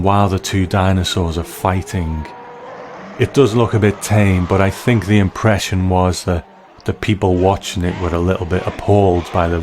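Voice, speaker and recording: A man hosting a scripted episode commentary, speaking in a measured, appreciative way. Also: low-pitched, background noise. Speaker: host of a TV episode commentary show.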